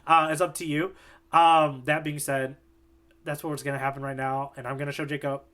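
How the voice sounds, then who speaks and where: A man's voice: fairly high pitch, flat delivery, normal speed, sharp tone. A man co-hosting a podcast, chatting casually in an easygoing, agreeable way with many fillers. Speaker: podcast co-host. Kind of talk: casual chat.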